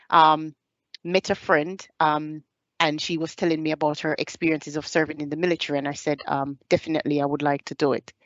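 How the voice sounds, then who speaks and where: A Jamaican woman in her thirties, a soldier giving a hesitant presentation over a video call, pausing often on fillers. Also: deep voice, a bit bright, no room sound, highs cut off. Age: 30s. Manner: hesitant, many filler pauses. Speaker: serving army staff sergeant giving a talk.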